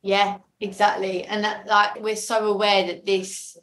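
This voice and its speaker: A woman's voice: mid-range voice, flat delivery, measured pace, fairly bright tone. A woman chatting enthusiastically and casually as a guest in an interview. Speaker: podcast guest, audio drama creator.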